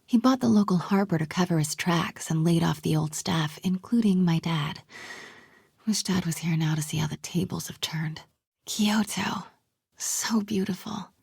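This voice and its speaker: An actress playing a brave, quick-witted young woman reporter in a video game, with an American accent, a breathy, husky voice and a wry, conversational, often hushed delivery. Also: fairly low pitch, dry recording, clean studio sound, exaggerated voice. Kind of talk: naturalistic video game voice acting.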